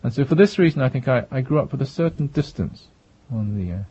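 Husky-toned soft-spoken author, dry lo-fi. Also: male voice, mid-range voice, animated, fast, no echo. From Japan, raised in England. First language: Japanese. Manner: thoughtful, many restarts and self-corrections. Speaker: author guest. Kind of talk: reflective interview answers. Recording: dull lo-fi recording.

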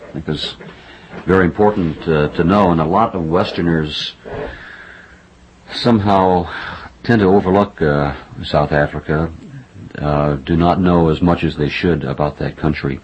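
A man interviewing an author, asking his questions in a halting way with repeated words and many "uh"s. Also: rumbling low, darkish tone. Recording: dull lo-fi sound with faint noise.

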